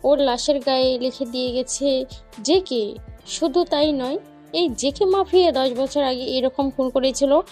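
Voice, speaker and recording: A woman's voice: high voice, a little slow, darkish tone, faint music behind. A woman playing a young female television news reporter in a dramatized audio story. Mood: formal, presenting.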